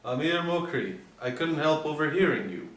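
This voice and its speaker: A man's voice: fairly high pitch, expressive, normal speed, close to an everyday voice. Echoey, cool, sly undercover actor as a male pilot. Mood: guarded, probing. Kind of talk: screenplay table read. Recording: echoey room, distant mic, faint noise.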